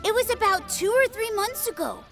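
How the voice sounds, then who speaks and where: A woman's voice: extremely high pitch, expressive, moderate pace, loud music behind the voice. A woman voicing an eager, boastful young boy who plays at being a sword master in a video game. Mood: chatty.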